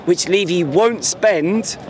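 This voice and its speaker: A man's voice: high voice, slow pace, noisy background. A man in his twenties, a football fan, talking passionately and emphatically in a street interview outside a busy stadium. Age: 20s. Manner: passionate, emphatic, repeats words. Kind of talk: street vox pop interview. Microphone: handheld mic held by interviewer.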